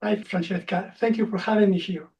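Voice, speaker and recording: Man in his 40s, english not his first language, soft halting talk, warm mellow voice, kinda far from the mic. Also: sits high, fairly even intonation, lo-fi sound. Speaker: social anxiety coach. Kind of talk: interview guest, personal story.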